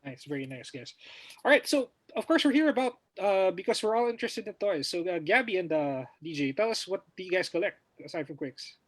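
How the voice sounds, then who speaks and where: A man's voice: high voice, very animated, fairly quick, no echo. A man hosting a casual livestream talk show, welcoming guests with many hesitant fillers.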